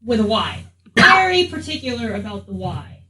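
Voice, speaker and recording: High, animated woman role-playing, echoey room. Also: moderate pace, distant mic. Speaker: tabletop game player. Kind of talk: casual in-character role-play. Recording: echoey room, off mic, faint noise.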